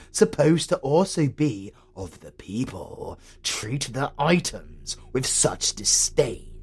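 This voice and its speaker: Male actor as a theatrical British supervillain, audio roleplay. Creaky, husky, slow-weighted delivery, haughty and melodramatic. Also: low-pitched, a little mellow, exaggerated voice. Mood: seething contempt. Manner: grandiose, melodramatic, sardonic. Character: pompous, theatrical retired supervillain dark lord, male, easily outraged. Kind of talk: comedy audio roleplay acting.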